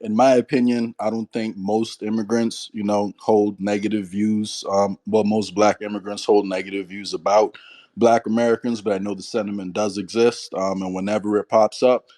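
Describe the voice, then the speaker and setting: A man with a Caribbean accent, calling in to a radio show. His voice is low and mellow. He speaks in a measured, hesitant way with plenty of fillers. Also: little pitch movement, no echo. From Turks and Caicos. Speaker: caller.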